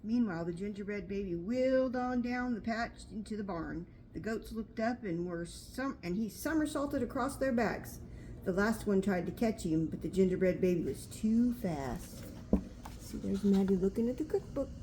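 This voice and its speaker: An older woman with a mellow, soft-edged voice reads a picture book aloud to a grandchild. She is homely and chatty, at a middling pitch, with faint noise in the background. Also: animated, moderate pace, low-quality recording. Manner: homely, chatty, pointing things out. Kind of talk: storybook read aloud with asides to a child.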